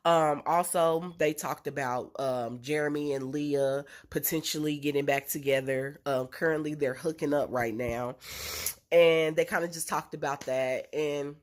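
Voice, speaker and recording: A woman's voice: deep voice, fairly even intonation, a little slow. A young woman with a congested, nasal voice from a cold, recapping a reality show in a chatty way with lots of ums and blunt slang. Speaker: reality TV reviewer. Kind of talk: casual recap and opinion vlog.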